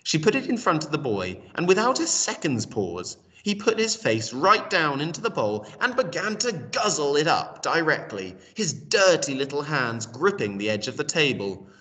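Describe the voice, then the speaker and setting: A man reading a children's story aloud in an expressive storytelling style. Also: fairly high pitch, normal speed, hardly any pauses, recorded from a distance. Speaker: storytelling reader.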